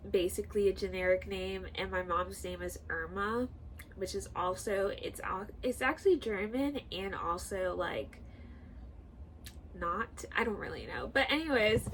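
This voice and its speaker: A woman's voice: medium pitch, fairly bright tone, a little hiss or hum, rough recording. A young woman in her twenties, nasal and congested, chatting casually in a sit-down vlog and rambling with lots of fillers. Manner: chatty, rambling, full of likes and ums. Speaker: YouTuber. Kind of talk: sit-down get to know me vlog.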